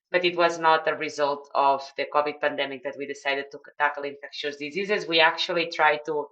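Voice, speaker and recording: A woman's voice: low-pitched, fairly bright tone, dull audio. A woman with a Spanish accent, a non-native English speaker, mentoring newcomers on a video call and explaining steps with many fillers and restarts. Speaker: project mentor. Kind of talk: online video call briefing.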